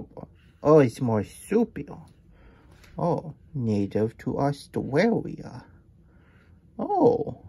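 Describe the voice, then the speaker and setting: Childlike, good-natured hedgehog puppet storyteller, played by a man: husky, unhurried, pitch swooping up and down. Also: dark tone, heavily acted. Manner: speaks of self in third person, halting, folksy. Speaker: host reading a picture book aloud. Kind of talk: puppet storytime read-aloud with chatty asides.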